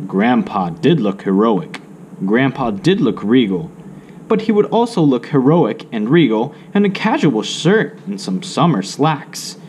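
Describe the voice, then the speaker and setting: A man reading a children's story aloud with measured, theatrical expression. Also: mid-range voice, sing-song, darkish tone, slight background noise.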